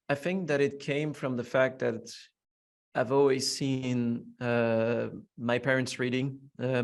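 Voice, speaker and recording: A young French man guest in an interview, answering in a reflective way with many fillers. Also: mid-range voice. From France. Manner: reflective, curious, frequent fillers. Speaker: podcast guest.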